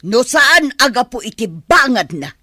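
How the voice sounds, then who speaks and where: An actor playing an irritable, blame-shifting scold in an Ilocano radio drama, complaining sharply with an Ilocano accent. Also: male voice, very high-pitched, very animated, unhurried, pushed and bright, dry recording, studio quality, full character voice. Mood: indignant.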